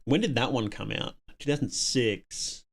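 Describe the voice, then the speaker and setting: A man's voice: mid-range voice, lively intonation, measured pace, gravelly, slight background noise. A man hosting a casual livestream, chatting to viewers and thinking aloud in a rambling, opinionated way. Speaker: livestream host.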